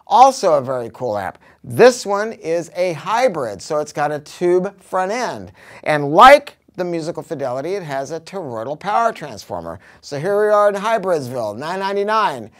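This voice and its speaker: An American man in his sixties giving a casual, unscripted product pitch as a hi-fi dealer, with an animated, emphatic, slangy delivery. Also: normal speed. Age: 60s. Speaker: hi-fi dealer presenting a product review. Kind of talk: casual unscripted sales talk. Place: carpeted audio showroom with curtains and acoustic panels.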